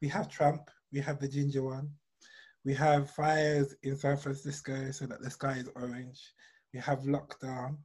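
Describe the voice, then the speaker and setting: A British man hosting a casual podcast chat, talkative and sometimes dropping to a low mutter for asides. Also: monotone, unhurried, darkish tone, breathy edge. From United Kingdom. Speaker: podcast host.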